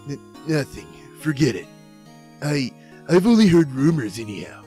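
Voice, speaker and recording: A man's voice: a little high, sing-song, normal speed, mellow. A man voicing a meek, earnest, hesitant actor character in an amateur game fandub. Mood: downcast. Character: meek, earnest male action-show actor, gentle and unsure. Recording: music faintly behind the voice.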